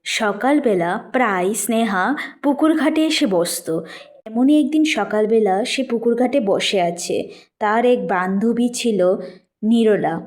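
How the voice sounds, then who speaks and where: A woman reading scripted narration for an animated moral story. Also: on the high side, measured pace.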